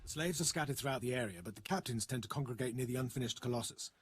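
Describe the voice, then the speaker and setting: Man acting military commander, game dialogue, fast pace, husky mid-pitched voice, dutiful, formal, deferential. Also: lightly acted. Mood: businesslike. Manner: brisk, formal briefing.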